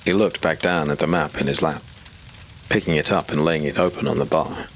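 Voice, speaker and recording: A man giving measured, ominous scripted narration for a dark fantasy audiobook. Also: very deep voice, steady tone. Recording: clear background hiss.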